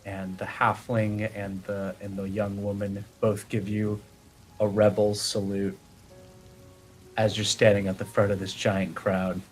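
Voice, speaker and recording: Game master, man, slightly low voice, descriptive scene narration. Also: monotone, a little slow, faint music behind, low-quality recording. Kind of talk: narrating a live tabletop game.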